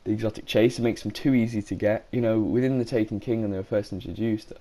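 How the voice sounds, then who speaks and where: A man with a sore throat rambles through a casual gaming video, stopping often to correct himself. His voice sits fairly low and has a dark, mellow tone. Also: steady tone, quick pace. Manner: rambling, frequent self-corrections. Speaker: gaming YouTuber.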